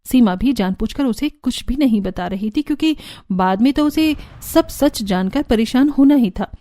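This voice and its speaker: A woman's voice: a little high, expressive, normal speed, warm tone, no echo, studio quality. A woman narrating a scripted cartoon story, with music playing behind her. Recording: background music under the voice.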